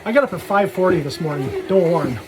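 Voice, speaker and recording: A man's voice: on the high side, expressive, fast, crowd noise. A man casually rambling through a personal vlog, dropping self-deprecating, jokey asides. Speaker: vlogger. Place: talking while out walking.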